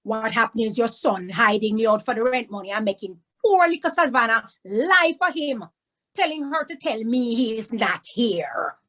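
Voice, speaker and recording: A woman voicing a cross male landlord, brisk and questioning, in a children's storybook. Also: a little high, expressive, a bit bright, voice pushed up. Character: cross, annoyed Caribbean landlord, a grown man. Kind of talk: storybook read-aloud.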